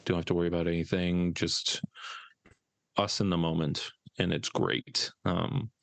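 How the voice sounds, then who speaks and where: A man with a deep voice, a podcast co-host, talking in a hesitant, rambling way full of fillers. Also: flat delivery, moderate pace, gravelly, dry recording. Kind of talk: casual podcast chat.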